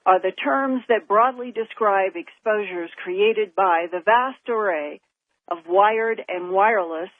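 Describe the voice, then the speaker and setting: Female science reporter, measured scripted read, mid pitch, dry thin phone-line audio. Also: no echo. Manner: measured, deliberate reading.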